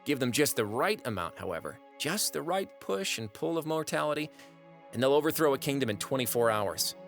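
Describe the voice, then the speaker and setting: A man acts out a wry storyteller for an audio drama, watching humankind with sardonic amusement. His delivery is conversational and folksy, at a middling pitch, with prominent music underneath. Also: very animated, brisk, creaky, a bit bright. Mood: sly, musing. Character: wry, folksy non-human male narrator observing humans.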